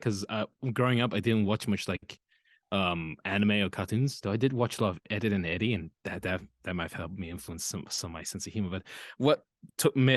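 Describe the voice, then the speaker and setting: A man's voice: fairly low pitch, dry recording, clean studio sound. A young man from Auckland with a New Zealand accent, a voice actor guest chatting hesitantly and trailing off. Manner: hesitant, trailing off, quiet laughs. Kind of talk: casual interview chat.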